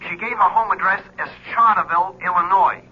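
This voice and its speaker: An actor playing a chatty, breezy police lieutenant talking on the telephone in 1970s radio drama style. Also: male voice, high-pitched, fairly quick, slightly rough. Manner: breezy, talkative, colloquial. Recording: dull old radio, telephone-like sound.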